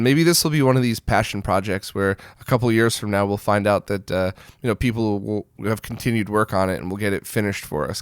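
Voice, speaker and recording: A man with a clean, smooth voice presents gaming news and reviews as a show host. His delivery is informal and conversational, and he readily gives his own opinion. The sound is dry, with little trace of room echo. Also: lowish voice. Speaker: host of a weekly retro gaming news roundup. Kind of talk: casual news and review commentary.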